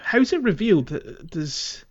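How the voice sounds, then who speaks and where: A man co-hosting a casual gaming podcast, talking haltingly and thinking aloud as he goes. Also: sits high, sing-song, highs cut off. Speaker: podcast co-host.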